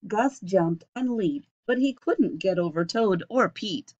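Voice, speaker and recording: A middle-aged woman reading a children's picture book aloud with expressive, deliberate phrasing. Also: mid-range voice. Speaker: storybook reader. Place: small indoor home room. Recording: dull lo-fi webcam recording.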